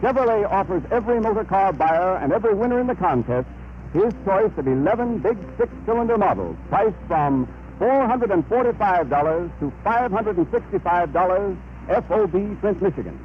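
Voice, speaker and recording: High-voiced 1930s male radio announcer. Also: animated, moderate pace, pauses often. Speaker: radio announcer reading an advertisement. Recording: muffled old radio broadcast with noise.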